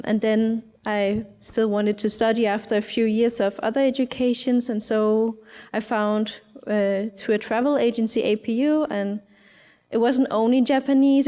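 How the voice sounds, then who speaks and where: A young woman from Denmark, a student on a panel, talking in a relaxed, chatty way. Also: on the high side, long reverb tail. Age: young adult.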